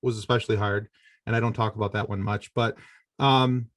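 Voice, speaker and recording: Low-voiced middle-aged man, dry close sound. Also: fairly even intonation, dry recording. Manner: halting, conversational, self-correcting delivery. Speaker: podcast guest. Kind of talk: personal storytelling in a podcast interview.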